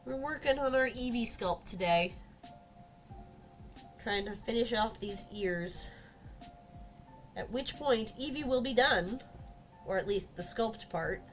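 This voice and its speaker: A woman's voice: on the high side, slow pace, pauses often, fairly bright tone, poor audio. Congested woman, casual stream chat, musing and trailing off, faint music behind. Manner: chatty and musing, trailing off with fillers. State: congested from a cold. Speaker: live-streaming sculptor. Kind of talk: casual live-stream chat while working.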